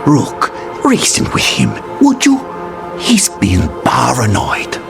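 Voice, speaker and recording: A man's voice: mid-range voice, huge pitch swings, slow pace, vocal fry, music in the background, exaggerated voice. A man voicing a smug, self-assured assassin, dismissive and theatrical, in a video game cutscene. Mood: exasperated.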